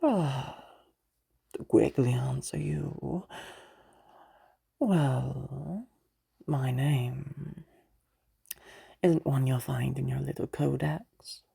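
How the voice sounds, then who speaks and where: A woman playing a sly, teasing female demon of lust in an audio roleplay, bargaining patiently in a breathy, creaky voice with halting pauses and whispers. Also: a bass voice, mellow, heavily acted. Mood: smug, bargaining.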